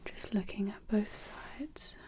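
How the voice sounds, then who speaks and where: A woman whispering gently in an ASMR roleplay as an examiner, giving breathy, reassuring, unhurried instructions close to the microphone. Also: medium pitch.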